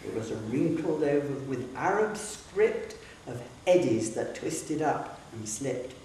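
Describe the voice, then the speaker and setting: A woman giving a dramatic recital of narrative poetry in a hall, with measured, deliberate phrasing. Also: very deep voice, expressive, dark tone. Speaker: poetry reader. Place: public hall. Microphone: distant microphone. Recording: very echoey hall, distant mic, faint hiss.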